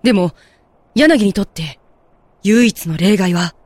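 Audio drama performance of a composed, gently guiding character played by an actor. The voice sits at a middle pitch and unfolds explanations in a measured way. The sound is close and dry. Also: dry recording. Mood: grave, explanatory. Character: composed explainer who gently guides a distressed girl. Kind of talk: Japanese audio drama acting.

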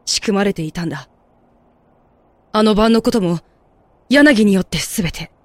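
Audio drama performance of a composed, gently guiding character played by an actor. The voice sits at a middle pitch and unfolds explanations in a measured way. The sound is close and dry. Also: dry recording, close to an everyday voice. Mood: grave, explanatory. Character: composed explainer who gently guides a distressed girl. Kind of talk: Japanese audio drama acting.

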